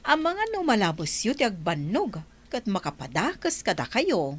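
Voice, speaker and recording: An actress playing a caring young granddaughter in a radio drama, with a gentle, solicitous manner. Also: female voice, medium pitch, wildly swooping pitch, normal speed, breathy edge. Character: sweet, caring young granddaughter fussing over an elder. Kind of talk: Ilocano radio drama acting.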